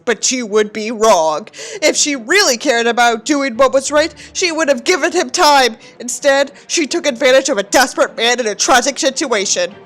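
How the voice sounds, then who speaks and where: A woman's voice: sits high, animated, normal speed, hardly any pauses, fairly bright tone. A woman voicing a bitter, self-pitying young narrator in a sneering, melodramatic dramatic reading of a novel. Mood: resentful, scornful.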